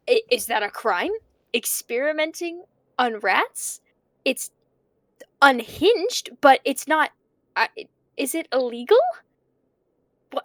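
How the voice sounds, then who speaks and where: A woman's voice: fairly high pitch, dry recording. A woman playing a panicky, conscience-stricken young student in an audio drama, stammering and rambling anxiously. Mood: panicked. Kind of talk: audio drama monologue, naturalistic.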